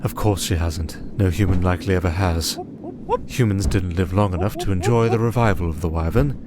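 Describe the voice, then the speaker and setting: A man's voice: deep voice, brisk, background noise, natural voice. A man playing an imperious vampire archduke in a fantasy audio drama, haughty and drily condescending. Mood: dry disdain. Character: imperious, dryly possessive male vampire archduke. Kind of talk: scripted fantasy audio roleplay.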